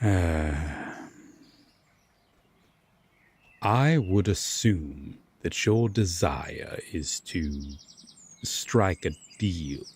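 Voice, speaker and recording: A brooding Fae lord, acted by a man in a roleplay audio drama. His voice sits on the low side and swings expressively through very slow, formal phrases. He is guarded and courteous, with reluctant kindness and long dramatic pauses. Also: a little mellow, breathy edge, exaggerated voice. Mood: grave, guarded.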